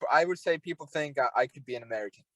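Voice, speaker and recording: A man's voice: a little high, very fast, bright, forceful tone. A young man from Finland with a Finnish accent, chatting casually as a podcast guest, laid-back and agreeable, with lots of "you know.". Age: young adult. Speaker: podcast guest, hockey prospect. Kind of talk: casual interview chat. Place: home in Finland.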